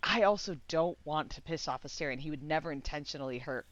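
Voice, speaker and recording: A woman giving casual live game commentary, excitable and giggly, swearing and meandering as she thinks aloud. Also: deep voice, fast talker, no room sound, dull audio. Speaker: game streamer.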